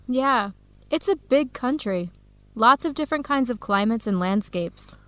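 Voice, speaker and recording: An actress with an American accent playing an enthusiastic, curious visiting woman scholar in an educational radio drama, with clear, simple phrasing. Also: a little high, animated, unhurried, fairly bright tone, no room sound. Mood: upbeat, encouraging.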